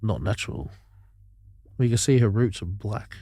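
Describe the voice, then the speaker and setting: Dry-sounding recording, low-pitched man, blunt. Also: lively intonation, moderate pace, dark tone, breathy edge, no room sound. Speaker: podcast co-host. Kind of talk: casual banter, heavy swearing. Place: vintage caravan turned studio.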